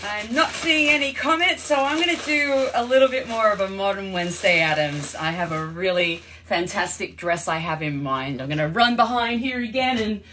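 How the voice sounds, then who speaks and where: A woman's voice: mid-range voice, normal speed, hardly any pauses, a bit bright, slight background noise. A young Australian woman chatting casually on a live stream from her home, greeting viewers as she changes costumes. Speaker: livestream host. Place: home room.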